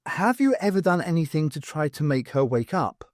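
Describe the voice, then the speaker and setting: A man doing a brisk heroine voice. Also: on the high side, big pitch swings, darkish tone, no room sound, studio quality. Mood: curious. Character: brisk adult woman archaeologist heroine.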